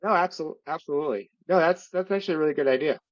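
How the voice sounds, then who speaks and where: A podcast co-host chatting casually, agreeable and easygoing, sounding congested from a lingering illness. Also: male voice, a little high, very fast, dry recording, highs cut off. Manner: easygoing, agreeable, lots of fillers. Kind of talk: casual chat.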